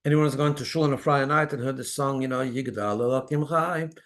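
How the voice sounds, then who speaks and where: A man's voice: mid-range voice, fast, fairly bright tone. A man speaking as a rabbi and co-host, teaching religion conversationally and explaining step by step.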